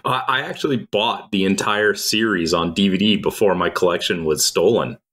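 A man from Croatia co-hosting a podcast, explaining things in a measured, storytelling way. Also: low voice, a bit bright. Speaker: podcast co-host. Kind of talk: casual podcast chat.

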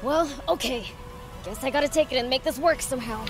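A woman's voice: high voice, brisk, over loud music. A woman voicing a brash, cocky young demon prince in a video game, bossy and informal. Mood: worried. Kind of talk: video game cutscene acting.

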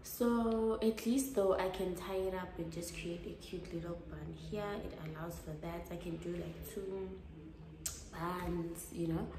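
A woman's voice: on the low side, steady tone, unhurried, noisy background. A young South African woman chatting casually to camera in a vlog, sounding tired and mixing English with isiZulu phrases. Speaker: vlogger. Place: in a car, later at home indoors.

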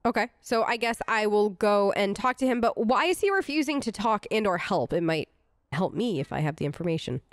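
A woman's voice: mid-range voice, very animated, brisk, no echo. A woman role-playing through a video game, speaking chattily in informal, in-character replies. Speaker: let's player.

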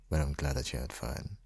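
An affectionate young father and husband acted by a man for an intimate ASMR roleplay. His voice sits extremely low, with a heavy husky breathiness. He speaks slowly and softly, teasing gently and often slipping into a whisper. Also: full character voice. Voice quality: very deep, husky, gravelly, breathy. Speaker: audio roleplay performer.

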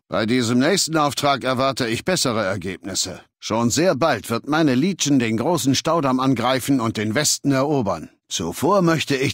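An actor playing a commanding, haughty warlord in a video game, speaking in an imperious, measured, lecturing manner. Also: male voice, medium pitch, a bit bright, dry recording, studio quality. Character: commanding, haughty male warlord ruling a legion. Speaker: video game character, faction leader. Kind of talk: scripted game dialogue in a German dub. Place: dry studio recording.

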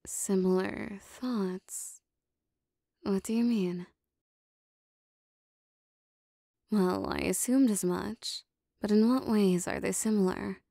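A woman playing a lovestruck, possessive demon bound to a sorcerer, speaking softly and formally in an intimate roleplay audio drama. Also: mid-range voice, no echo, clean studio sound. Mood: flustered eager. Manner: soft, breathy, formal archaic phrasing, lingering pauses.

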